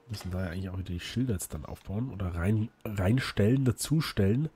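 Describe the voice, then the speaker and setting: Let's play host, male, a bit hoarse, chatty and rambling, faint hiss behind. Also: lowish voice, fast, mellow. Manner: chatty, rambling, frequent fillers and restarts. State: slightly hoarse from illness. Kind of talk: casual gameplay commentary.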